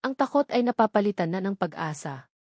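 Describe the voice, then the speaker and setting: A woman's voice: mid-range voice, expressive, a little mellow, no echo, studio quality. Earnest woman narrating a love story. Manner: measured, earnest, heartfelt. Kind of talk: first-person scripted story narration.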